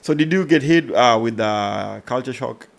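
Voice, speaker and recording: A man's voice: medium pitch, expressive, normal speed, gravelly. A man hosting an interview, asking questions with lots of short "mm" and "okay" replies. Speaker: podcast host. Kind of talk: casual interview.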